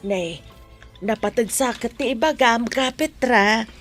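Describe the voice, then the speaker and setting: A woman's voice: on the high side, slow, soft background music. A woman with a Filipino accent playing an indignant, protective mother in a radio drama. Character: indignant mother defending her child. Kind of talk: Ilocano radio drama acting.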